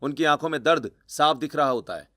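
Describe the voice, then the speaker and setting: A man's voice: fairly high pitch, brisk, bright, forceful tone. A man narrating a scripted cartoon family story.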